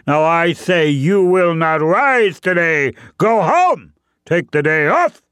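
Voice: medium pitch, animated, slow pace, clear, resonant tone, no echo, studio quality, pitched above the performer's own voice. Storyteller putting on a pompous, bellowing bear. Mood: pompous, commanding. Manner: pompous, commanding, shouting. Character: boastful, bossy, bellowing big bear bully.

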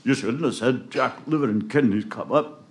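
A man's voice: mid-range voice, moderate pace, lightly acted. A man voicing a hungover, aggrieved man scolding someone in an Irish folktale, with a put-on Northern Irish accent. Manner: reproachful.

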